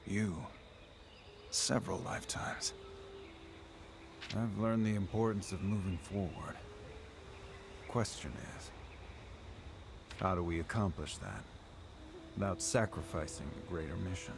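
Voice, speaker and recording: A man acting as a calm, regretful wandering swordsman in a video game, speaking solemnly and with measure. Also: low voice, a little slow, gravelly, pauses often, background noise. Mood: solemn, resolute.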